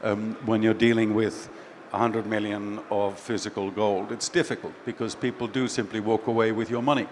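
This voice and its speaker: A man's voice: fairly low pitch, normal speed, slight background noise. A middle-aged man from Finland with a light Finnish accent, speaking casually as a CEO on a conference panel. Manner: relaxed, conversational, with fillers. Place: expo stage.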